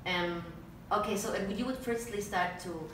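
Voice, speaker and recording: Deep-voiced woman interviewer, chatty and laughing; roomy, distant, noisy recording. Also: normal speed, a bit bright. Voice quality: deep speaking voice. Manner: chatty, laughs often, lots of restarts. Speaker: vocal coach and interviewer. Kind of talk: casual interview chat. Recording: echoey room, far from mic, noisy.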